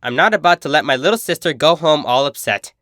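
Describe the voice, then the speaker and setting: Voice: mid-range voice, animated, fast, fairly bright tone, no room sound, studio quality, natural voice. A narrator voicing a brash, protective big-sister pegasus mare from a cartoon, with a cocky, slangy, insistent delivery. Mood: brash, concerned.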